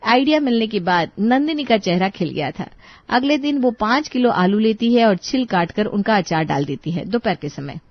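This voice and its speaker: A woman's voice: mid-range voice, expressive, normal speed, no echo, dull audio. A woman narrating a scripted moral story as the storyteller.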